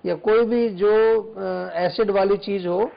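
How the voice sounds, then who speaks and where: Man, Ayurvedic doctor, high gravelly voice, calm explanations, dull lo-fi studio sound. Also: slow pace. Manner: calm, explanatory, lists remedies step by step. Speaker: Ayurvedic doctor, show expert. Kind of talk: studio health talk, advising callers.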